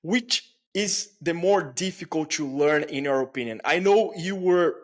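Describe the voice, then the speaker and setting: A man's voice: fairly high pitch, unhurried. A man hosting a casual podcast interview, upbeat and chatty with his listeners. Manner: upbeat, addresses listeners as guys. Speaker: podcast host. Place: home in Canada.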